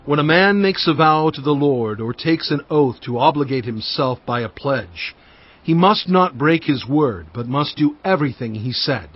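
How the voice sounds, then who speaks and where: A man's voice: a little high, lively intonation, normal speed, slightly rough, lo-fi sound. A man playing an earnest prophet who proclaims divine law formally and emphatically in a dramatized audio Bible. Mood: earnest, authoritative.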